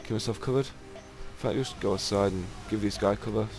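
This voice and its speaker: A young man with a croaky voice casually commenting on his gameplay, excitable and swearing. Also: fairly low pitch, brisk, music in the background. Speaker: let's play gamer.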